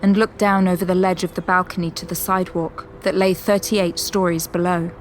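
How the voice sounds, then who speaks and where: Low-voiced woman narrator. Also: flat delivery, brisk, faint music behind. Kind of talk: scripted story narration.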